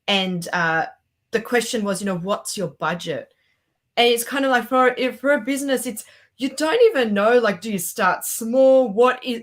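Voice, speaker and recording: A woman co-hosting an interview, asking casual questions in a tentative, rephrasing way. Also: mid-range voice. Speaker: podcast co-host.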